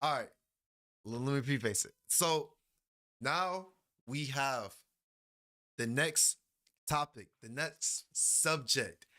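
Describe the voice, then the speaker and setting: A man's voice: mid-range voice, lively intonation, slow, long pauses, pushed and bright. A young man giving a casual, slangy reaction commentary as a YouTuber, laughing often and rambling into asides.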